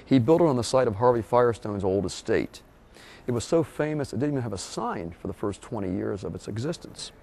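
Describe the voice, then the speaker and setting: A man guiding a walking tour and explaining local history in a measured, informative way. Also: medium pitch, expressive, creaky, darkish tone. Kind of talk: scripted walking-tour narration. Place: outdoors on a beach boardwalk, later a hotel lobby.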